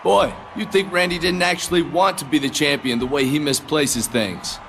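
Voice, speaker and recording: A man's voice: fairly high pitch, moderate pace, fairly bright tone, a little hiss or hum. A man playing a smug, entitled young wrestler in a video game, with a sly manner.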